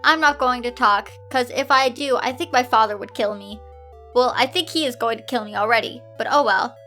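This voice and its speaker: A woman's voice: fairly high pitch, brisk, pushed and bright, soft background music. A woman voicing a hurt, defiant schoolgirl daughter in a bitter, downcast way in a fan fiction reading. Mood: resigned.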